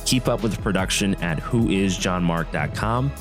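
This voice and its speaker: A man's voice: low voice, normal speed. A man reading scripted credits as an announcer. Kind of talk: scripted narration. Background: guitar music.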